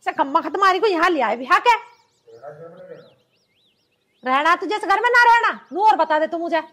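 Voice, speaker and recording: Woman playing a shrill nagging mother-in-law. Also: expressive, quick pace, sharp tone, full character voice. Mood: scolding. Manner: nagging, scolding. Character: scolding older mother-in-law, sharp-tongued. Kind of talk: family drama skit.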